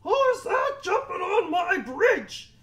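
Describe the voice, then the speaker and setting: Lady doing a big grumpy troll for a kids story, loud n drawn out, voice swoops around. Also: very high voice, sing-song, pitched above the performer's own voice, full character voice. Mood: roaring, menacing. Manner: loud, drawn-out, roaring demands. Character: grumpy bully male troll under a bridge. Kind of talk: children's storybook telling.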